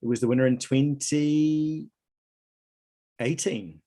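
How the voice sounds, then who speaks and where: A man hosting a live online quiz, reading out questions and answer options in a measured way. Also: mid-range voice, fairly even intonation, mellow. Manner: reads options aloud in a measured list. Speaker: quiz host at an online conference.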